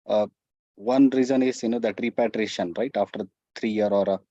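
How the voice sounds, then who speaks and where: A man's voice: lowish voice, steady tone, fairly quick, warm tone, dry recording. Indian man explaining steps, dull dry audio. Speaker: expert panelist. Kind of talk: step-by-step explanation.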